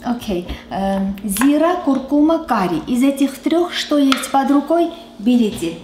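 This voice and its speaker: A woman's voice: high-pitched, animated, measured pace, background noise. A woman, chatting casually as she cooks in a large home kitchen and sometimes searching for words. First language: Armenian. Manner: chatty, searches for Russian words, slips into English. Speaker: cooking show host. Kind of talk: casual cooking demonstration. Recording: echoey kitchen with background music.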